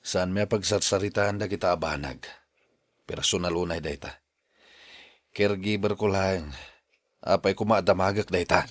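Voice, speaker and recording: Man acting a low-voiced stubborn Ilocano convalescent. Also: fairly even intonation, moderate pace, pauses often, dry recording, studio quality, lightly acted. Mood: guarded. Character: male office worker recovering from mild stroke, stubborn, grumbling. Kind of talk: Ilocano radio drama acting.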